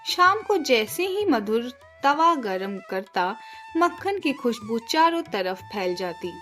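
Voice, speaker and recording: A woman's voice: on the high side, sing-song, slow, fairly bright tone. A woman narrating a scripted children's story as a storyteller. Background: background music.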